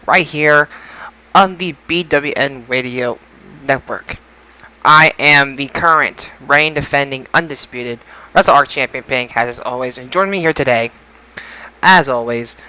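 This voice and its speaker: A man's voice: fairly high pitch, sharp tone, clean tone, low-quality recording. A man hosting a casual podcast, announcing like a showman and stumbling over his words at times. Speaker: podcast host. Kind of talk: casual podcast show intro and chat.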